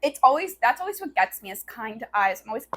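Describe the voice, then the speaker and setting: A woman's voice: a little high, very animated, fast, fairly bright tone. A young American woman chatting casually as a podcast co-host, irreverent, slangy and free with swearing. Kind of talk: casual chat, book review banter.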